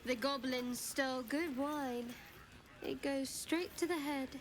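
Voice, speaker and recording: A haughty warrior woman in a fantasy game, fairly high-voiced and slow. Her delivery is bored and drily scornful. A faint noise sits behind her. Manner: dry sarcasm, scornful.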